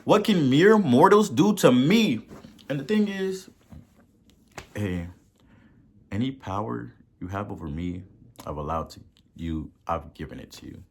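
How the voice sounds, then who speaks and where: A man with a Haitian accent hosting a podcast and giving a motivational talk, preachy, repeating words for emphasis and swelling to loud peaks. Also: medium pitch, expressive, measured pace, vocal fry, long pauses, a little hiss or hum. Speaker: podcast host.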